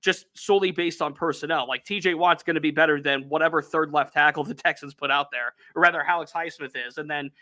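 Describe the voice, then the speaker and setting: A man's voice: on the high side, fairly even intonation, quick pace, hardly any pauses, bright, forceful tone. A man with a Youngstown accent, co-hosting a sports talk podcast with energy and reading out viewer comments. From Northeast Ohio. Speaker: podcast co-host.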